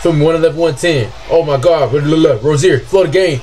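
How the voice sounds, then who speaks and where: A young man with a deep voice reacting casually to basketball highlights, hollering and trash-talking in slang from a small room on a desk mic. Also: a little fast. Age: young adult. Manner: excitable, yelps and hollers, slangy trash talk. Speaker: sports reaction streamer. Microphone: webcam and desk mic.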